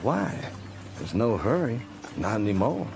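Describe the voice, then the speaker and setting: A kindly, worn-out old Confederate sergeant in a radio play. His voice sits very low. He talks in an unhurried, fatherly, folksy way over clearly audible music. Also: very animated, exaggerated voice. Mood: resigned. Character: weary, kindly older Confederate sergeant on a crutch. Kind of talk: radio drama acting. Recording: music behind the voice.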